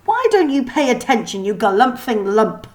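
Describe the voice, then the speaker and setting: A woman reading a storybook puts on a strict middle-aged schoolmistress. She is imperious and scolding, with a raised, high-pitched voice that barks at her pupils. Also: big pitch swings, normal speed. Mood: angry scolding. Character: strict, bellowing middle-aged female deportment teacher.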